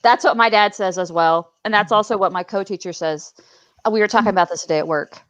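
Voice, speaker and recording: A woman's voice: medium pitch, quick pace, a bit bright, dry recording. Earnest woman on dull, dry audio. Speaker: panelist. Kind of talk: casual panel discussion.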